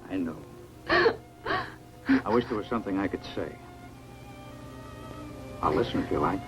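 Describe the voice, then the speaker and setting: An actor playing a calm, weary scientist doctor in a 1950s science-fiction film, with a measured, reassuring manner. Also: male voice, low-pitched, poor audio. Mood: gentle, reflective. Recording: 1950s film soundtrack with music.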